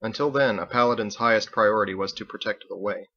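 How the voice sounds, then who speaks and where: Man, author-narrator, scripted fiction reading, lowish pitch, slightly muffled lo-fi recording. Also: quick pace, bright, forceful tone.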